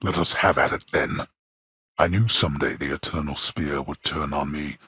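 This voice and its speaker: A man playing a stern, imperious god of the underworld and disapproving father in a video game, with haughty, formal, deliberate delivery and a gravelly, husky voice. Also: extremely low pitch, sharp tone, dry recording, studio quality, full character voice. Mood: stern menace. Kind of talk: theatrical video game voice acting.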